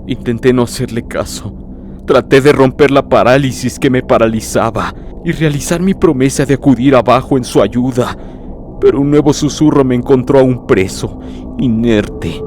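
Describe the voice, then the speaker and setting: A man narrating a horror story in the first person, in a grave, literary, dramatic manner with deliberate phrasing. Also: medium pitch, darkish tone, a little hiss or hum. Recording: prominent music behind the voice.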